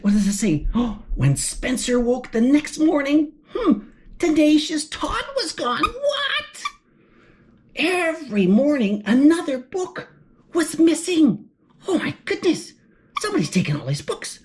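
A woman's voice: on the high side, darkish tone. A woman reading a picture book aloud to children, gasping with surprise and asking the listener questions as she turns the pages. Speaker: children's story reader. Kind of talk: animated children's read-aloud.

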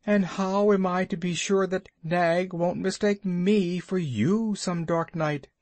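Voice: mid-range voice, steady tone, takes it slowly, darkish tone, no room sound, voice pushed up, lightly acted. A storyteller voicing a timid, broken-hearted male muskrat who whimpers and pleads tearfully. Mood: pleading.

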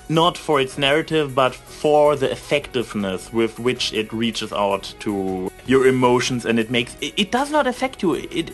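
A man rambling casually as a vlogger, telling things in an animated, emphatic way and stretching out some words. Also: mid-range voice.

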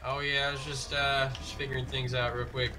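A man's voice: medium pitch, flat delivery, fairly quick, bright, forceful tone, a little hiss or hum, rough recording. A man chatting casually in character over an online game's voice chat. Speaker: roleplay gamer.

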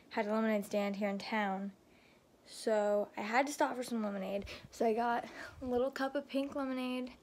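A young woman vlogger with a slightly raspy, deep-for-her voice, rambling casually with lots of fillers, filmed on a phone in her car and later in her room. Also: darkish tone. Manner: chatty, rambling, lots of "like" and "freaking". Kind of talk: casual vlog chat. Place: inside a car, then a bedroom. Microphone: phone camera on dashboard, then handheld. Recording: noisy car recording.

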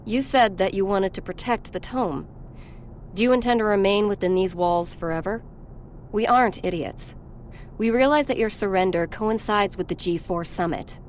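An actress playing a skeptical government aide in an animated game, with a crisp, formal, matter-of-fact delivery. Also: female voice, mid-range voice, slight background noise, close to an everyday voice. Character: brisk, businesslike, skeptical female government aide. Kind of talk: dubbed animated game cutscene acting.

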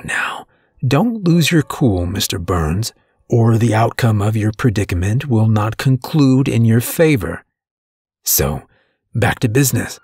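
A man voicing a pale, refined male stranger who bargains for souls, with a smooth, sharp, mock-polite drawl. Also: mid-range voice, no room sound, heavily acted. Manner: taunting, giddy, drawling. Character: pale, refined, taunting male soul-dealer.

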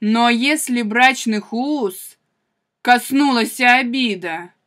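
Casting: actress for wronged, vengeful wife in classical tragedy. Higher female voice, very slow, declamatory delivery, proud and bitter, intense. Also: a bit bright. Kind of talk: theatrical classical tragedy monologue.

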